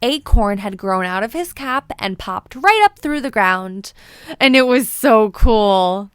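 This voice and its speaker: A woman's voice: mid-range voice, animated, takes it slowly, clear, resonant tone, no echo, studio quality. A woman narrating a children's storybook expressively, with playful, dramatic emphasis. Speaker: children's book read-aloud presenter.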